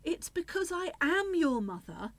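A pleading grandma in a radio drama, high voice that goes up and down loads, coaxing, self-justifying. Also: female voice, sing-song, unhurried, no echo, full character voice. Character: pleading, reproachful grandmother, anxious to smooth things over. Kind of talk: radio play acting.

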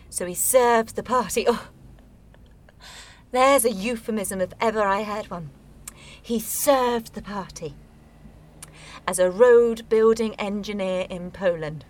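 A woman's voice: mid-range voice, takes it slowly, pauses often, natural voice. An actress in a radio play voicing a blunt, bitter woman burdened by family guilt, with a sardonic, probing manner. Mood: bitter confession.

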